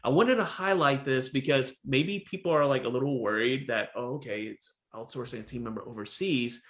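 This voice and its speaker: A man's voice: mid-range voice, fairly quick. A man hosting a dental podcast over a video call, chatting casually and often saying "again" and "right?". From Texas. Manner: animated, repeats "again" and "right?". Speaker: podcast host, dentist. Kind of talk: casual interview chat.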